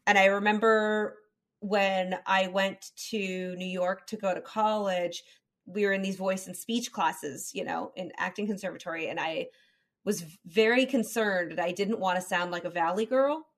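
A woman's voice: mid-range voice, normal speed. A young woman with a light Southern Californian accent hosting a podcast, chatting candidly and laughing often. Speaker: podcast host. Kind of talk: casual chat.

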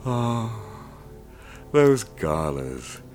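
An actor narrating as a wealthy, jaded male killer, in a brooding, measured way. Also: fairly low pitch, big pitch swings, a little mellow, faint music behind, heavily acted. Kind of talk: narration in a radio drama.

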